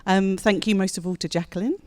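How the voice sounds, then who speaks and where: A woman's voice: mid-range voice, steady tone, fast talker, slight background noise. A woman journalist interviewing a guest onstage before an audience, friendly and polite, with fillers. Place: event hall before an audience.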